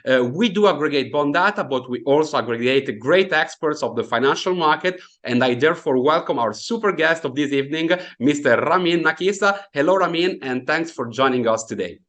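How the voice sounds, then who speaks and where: A man's voice: on the high side, expressive, fairly quick, hardly any pauses, fairly bright tone. A man in his thirties from Italy with an Italian accent, hosting an online finance seminar over a video call with many fillers and hesitations. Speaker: webinar host. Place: indoor home room, video call.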